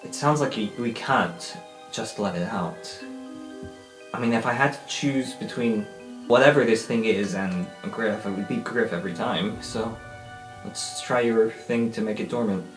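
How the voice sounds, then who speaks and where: A man's voice: lowish voice, a little slow. A man playing a tabletop game, improvising in a conversational way. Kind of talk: improvised in-character game talk. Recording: roomy echo, faint music.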